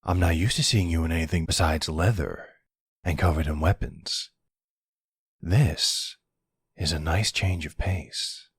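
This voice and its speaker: A man performing as a predatory, teasing male vampire in an ASMR roleplay. His voice is very deep and husky, drawled slowly and seductively. It sounds smug and intimate. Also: no room sound, studio quality, heavily acted. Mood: flirty, amused. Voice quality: low, gravelly, husky. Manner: slow, taunting, seductive drawl.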